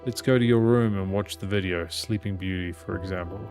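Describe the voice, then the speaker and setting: A man's voice: low-pitched, brisk, over music. A man reading a visual novel's narration and dialogue aloud as a gaming host.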